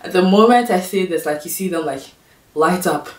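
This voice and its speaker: A young woman from Ghana with a Ghanaian accent, talking to camera in a casual, exasperated and sarcastic vlog. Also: moderate pace. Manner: exasperated, sarcastic, mimics others in high voices. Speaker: vlogger. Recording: echoey room, mic at a distance.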